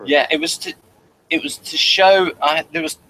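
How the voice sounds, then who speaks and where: Halting, bright-voiced man with noise behind. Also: on the high side, steady tone, low-quality recording. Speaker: review host. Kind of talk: casual chat, episode review.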